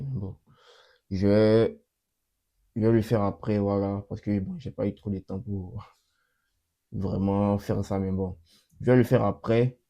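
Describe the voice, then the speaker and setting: A young man hosting his channel and talking through a coding demo in a casual way, with a cold that makes his voice a bit off. He repeats himself often and fills pauses with hesitations. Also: on the low side, gravelly, mellow. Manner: repeats himself, many fillers and restarts. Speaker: channel host. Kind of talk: casual screen-recorded coding walkthrough.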